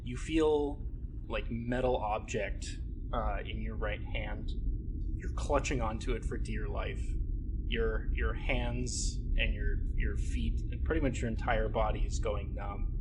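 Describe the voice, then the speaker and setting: A man acting as game master, narrating a horror tabletop scene in halting, suspenseful phrases. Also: lowish voice, background noise. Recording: loud music underneath.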